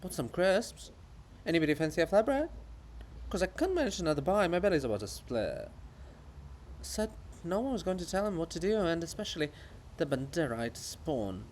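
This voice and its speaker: A man voicing a mocking, excitable chorus of gossiping living monuments in a theatrical reading. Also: on the high side, moderate pace, slight background noise. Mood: gossipy, teasing. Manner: jeering, excitable, taunting.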